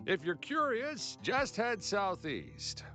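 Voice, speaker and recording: A man's voice: high voice, sing-song, slow pace, sharp tone, soft background music, full character voice. A man voicing a chatty, laid-back and helpful local townsman in a video game. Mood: easygoing, informative.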